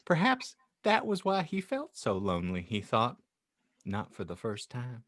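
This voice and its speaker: A man's voice: medium pitch, huge pitch swings, a little slow, a bit bright, dry recording, studio quality. A man hosting a podcast, reading a story like an audiobook narrator in a dramatic, playful, mock-grand way. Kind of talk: theatrical audiobook reading with joking asides.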